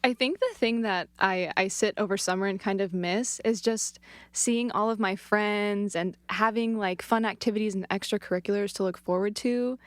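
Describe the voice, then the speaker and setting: A woman's voice: mid-range voice, moderate pace, no echo. Co-host, young woman, easygoing, mid voice, dry close recording. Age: young adult. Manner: relaxed, hesitant, frequent mm-hmms. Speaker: podcast co-host. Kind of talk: casual chat.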